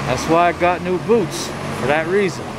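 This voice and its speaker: A man's voice: on the high side, normal speed. A man giving a casual, wry walking-tour commentary, reading signs aloud in an offhand way. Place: outdoors on a town shopping street. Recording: noisy outdoor street recording, traffic ambience. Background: loud street and car noise.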